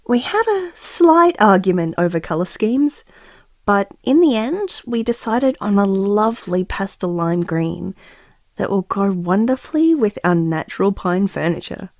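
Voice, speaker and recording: A woman's voice: medium pitch, expressive, measured pace, a little mellow, dry recording, clean studio sound. A woman acting a doting expectant mother who muses affectionately and wryly, as if taping an audio diary for her baby. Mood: fond, chatty. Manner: affectionate, musing, wryly self-aware. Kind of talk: intimate audio diary monologue in a drama.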